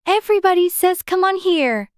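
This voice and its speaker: A very high, earnest young girl from a storybook , as acted out by a narrator. She is polite and curious, quick to take offence, and full of questions, recorded close and dry. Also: lively intonation, dry recording. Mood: indignant. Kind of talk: dramatized audiobook character voice.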